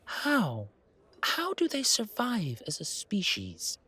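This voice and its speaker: A man's voice: sits high, huge pitch swings, takes it slowly, sharp tone, breathy edge, full character voice. A man voicing a refined, haughty old vampire who speaks in a formal, courtly, theatrical way. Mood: haughty, incredulous.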